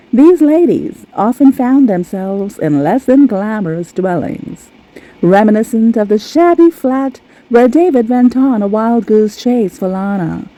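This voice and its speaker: Woman, scripted commentary, lively swooping pitch, mellow tone, theatrical, sardonic. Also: rough recording. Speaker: commentator on a reality TV show. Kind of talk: scripted narration.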